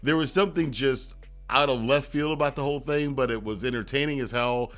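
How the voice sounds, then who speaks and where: A man's voice: medium pitch, fairly quick, fairly bright tone, soft background music. A man hosting a wrestling review, giving casual, conversational commentary with rambling asides and emphatic repetition.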